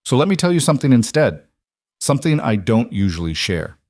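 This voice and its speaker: A man's voice: medium pitch, very animated, a little fast, darkish tone, dry recording, studio quality. A man voicing an intense mafia boss in an audio romance, direct and earnest, a little socially awkward. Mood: confiding.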